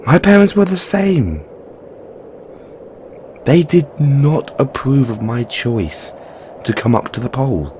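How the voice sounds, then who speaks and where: A man's voice: mid-range voice, sing-song, slow pace, long pauses, darkish tone, slight background noise. A man playing a frank, caring Christmas elf in an audio roleplay, earnest and informal, chiding yet reassuring. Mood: wistful. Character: frank, caring male Christmas elf, weary but supportive. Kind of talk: second-person audio roleplay monologue.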